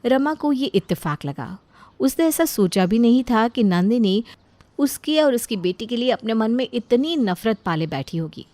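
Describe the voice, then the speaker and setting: A woman reading scripted narration for an animated family moral story. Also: a little high, normal speed, no echo.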